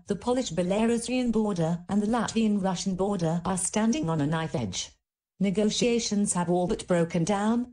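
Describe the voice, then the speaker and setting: A woman's voice: lowish voice, warm tone, close to an everyday voice. A woman playing a composed radio news anchor presenting a world bulletin.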